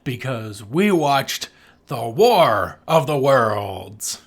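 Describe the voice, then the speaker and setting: Male co-host, mid pitch, casual, opinionated, emphatic enthusiast. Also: sing-song, very slow. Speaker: podcast co-host. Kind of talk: casual opinionated movie chat.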